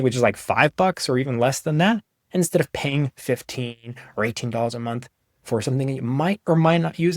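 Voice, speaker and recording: A young man giving a casual, conversational walkthrough of software he made, in an informal way. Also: mid-range voice, dry recording, studio quality. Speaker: app developer presenting his own software.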